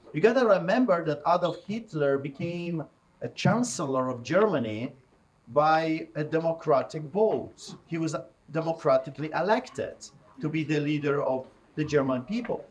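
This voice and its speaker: Casting: middle-aged man, Polish accent, street preacher, forceful and mocking, fairly high voice. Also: poor audio. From Poland. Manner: forceful, mocking, rising to shouts. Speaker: street pastor. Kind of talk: fiery preaching to a crowd.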